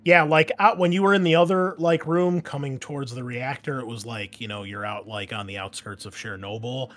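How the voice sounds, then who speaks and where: A man's voice: mid-range voice, a little fast, fairly bright tone. A man narrating a tabletop roleplaying game as game master, explaining things in a conversational way. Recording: clear background noise and ambience.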